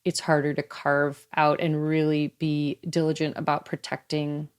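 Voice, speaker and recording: A woman in her fifties, a guest in a casual interview, talking in a chatty, lively way and laughing easily. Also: sits low, normal speed, clean tone. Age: 50s. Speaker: guest athlete.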